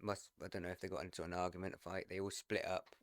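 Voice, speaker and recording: A man's voice: deep voice, level tone, racing, no echo. A man giving casual commentary as a reaction video host.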